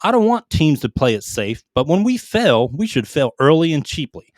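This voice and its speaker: A man co-hosting a casual gaming podcast, reading headlines aloud with quick asides and stumbling over foreign names. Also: fairly high pitch, sing-song, no room sound, clean studio sound. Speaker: podcast co-host reading news.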